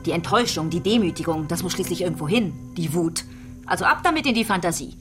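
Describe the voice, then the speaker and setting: A relentless female detective played by an actress with a fairly low voice. She questions insistently, needling and taunting, in the manner of a radio crime drama. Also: expressive, fast talker, over music, close to an everyday voice. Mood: taunting, probing.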